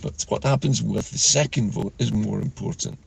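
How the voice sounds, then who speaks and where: Man, low gravelly voice, croaky and husky, talking from a car. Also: a little hiss or hum. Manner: measured, earnest, with frequent fillers. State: croaky from a chest infection. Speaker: political vlogger host. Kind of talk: talking-head political commentary. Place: inside a parked car.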